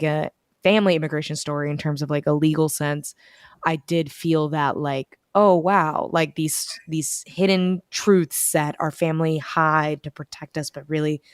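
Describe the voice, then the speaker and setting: A young American woman talks over a video call with a smooth, clear voice that sits a little low. The sound is dry and close, with no room around it. She chats easily and acts out her own thoughts in quoted asides. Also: dry recording. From United States. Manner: chatty, animated storytelling with quoted asides. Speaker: bookstore events director, guest.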